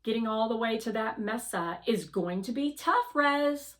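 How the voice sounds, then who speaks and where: A woman's voice: a little high, lively intonation, measured pace, fairly bright tone, voice pushed up. A woman voicing a small, eager, anxious drone who is a loyal friend in a children's storybook. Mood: worried.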